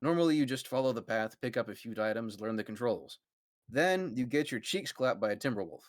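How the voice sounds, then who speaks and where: A man narrates a story in a very dry, close recording, with an average-pitched voice. He reads as the narrator of a casual group reading and slips in amused asides to his friends. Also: expressive, fairly quick, no echo.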